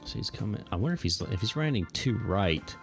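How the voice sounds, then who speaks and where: Male flight-sim streamer, low voice with vocal fry, laid-back and informal, faint music underneath. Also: lively intonation, fairly quick. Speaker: livestream host playing air traffic controller. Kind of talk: casual livestream chat with radio phraseology.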